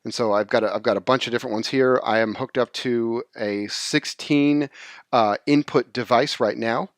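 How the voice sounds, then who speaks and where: A man presenting an unscripted software tutorial, explaining in a halting way and rephrasing as he goes. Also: mid-range voice, fairly even intonation, no echo, studio quality. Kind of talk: informal screen-share tutorial, unscripted explanation.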